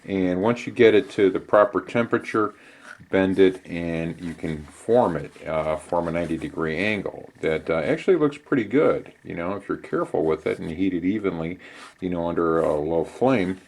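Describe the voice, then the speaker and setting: A middle-aged man giving a casual hands-on how-to demonstration in an unhurried, conversational way with hesitant pauses. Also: low voice, a little mellow. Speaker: DIY channel host. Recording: clear background noise.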